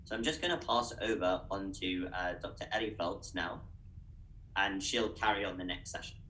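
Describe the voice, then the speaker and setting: A man's voice: deep voice, moderate pace, sharp tone, slight background noise. A young British man explaining slides to students in a webinar, talking them through step by step on a video call. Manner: encouraging, direct address. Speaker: webinar speaker. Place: indoor room. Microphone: video call webcam. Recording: echoey room.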